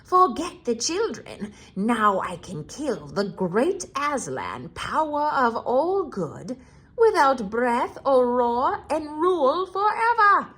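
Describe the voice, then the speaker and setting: A tyrannical storybook witch queen. She screeches high and loud, swooping through imperious, menacing lines that she draws out slowly and gloatingly. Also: big pitch swings, exaggerated voice. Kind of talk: theatrical children's storybook read-aloud.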